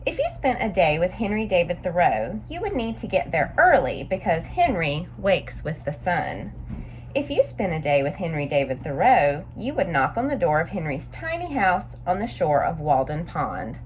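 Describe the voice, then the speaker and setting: Lady doing kids story time, voice kinda low but really up and down, some background noise. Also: sing-song, moderate pace. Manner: simple, explanatory, addressing young listeners. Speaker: children's story time reader and teacher. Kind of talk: read-aloud storytelling with explanatory asides for children.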